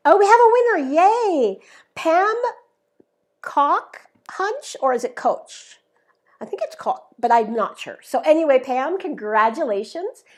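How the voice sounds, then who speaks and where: A woman's voice: high-pitched, big pitch swings, a little slow. A woman hosting a live craft tutorial, explaining each step in a chatty, upbeat way, her voice a little worn. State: slightly losing her voice. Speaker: craft demonstrator, show host.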